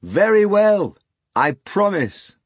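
A man's voice: sits high, very animated, slow pace, breathy edge, dry recording, clean studio sound, voice pushed up, full character voice. A man voicing a proud, dignified young woman who has become a witch queen. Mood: regal. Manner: dignified and commanding.

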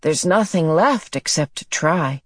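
A mild, determined father from a storybook, acted by a woman narrator. His voice sits low and slow, polite and apologetic with a slight mumble. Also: animated, husky, no room sound, studio quality, heavily acted. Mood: resolute.

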